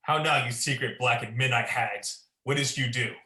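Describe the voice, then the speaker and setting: A man's voice: medium pitch, normal speed, sharp tone. A young man playing an ambitious, guilt-ridden king in a Shakespearean radio play, declaiming in a commanding, theatrical way. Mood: demanding, defiant. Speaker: student performer. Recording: echoey room.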